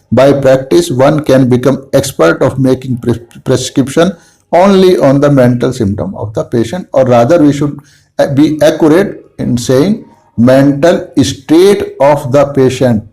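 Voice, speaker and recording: A man with an Indian accent, a native Hindi speaker, giving an earnest lecture on homeopathy to camera, slow and halting with restarts. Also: medium pitch, warm tone, poor audio. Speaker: homeopathy teacher.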